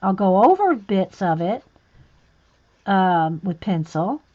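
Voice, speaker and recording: Slow-talking woman, chatty art livestream host. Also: medium pitch, sing-song, dull audio. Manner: chatty, reads and answers viewer comments; soft, half-muttered asides while working. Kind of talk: casual livestream talk while painting. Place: at a table with paints. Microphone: overhead camera on hands and page.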